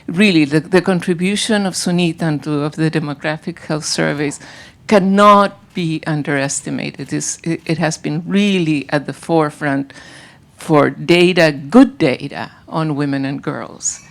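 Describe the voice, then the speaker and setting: A woman moderates a conference panel in a fairly low, gravelly voice. She introduces the speakers with some hesitation and repeated words. Her phrasing carries a lilt. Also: unhurried.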